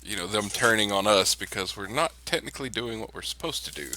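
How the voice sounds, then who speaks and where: Male tabletop player, lowish and bright, casual, hesitant delivery. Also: lively intonation, fairly quick. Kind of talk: casual in-character discussion at a tabletop game.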